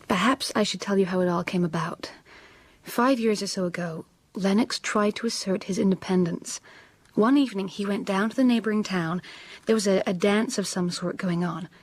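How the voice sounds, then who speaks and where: A woman's voice: mid-range voice, moderate pace, no room sound, natural voice. An actress playing a timid, oppressed woman of twenty-three, hesitant and submissive, in a radio drama. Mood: anxious, confiding. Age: 20s.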